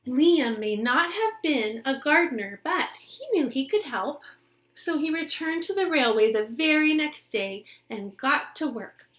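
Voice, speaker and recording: A woman reading a picture book aloud to young children like a teacher, expressively, pausing to explain simply and ask questions. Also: high voice, expressive, a bit bright.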